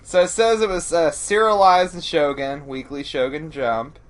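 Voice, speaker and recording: A man's voice: a little high, steady tone, moderate pace. A man co-hosting a podcast, talking through a film in a casual, rambling, self-deprecating way. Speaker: podcast co-host.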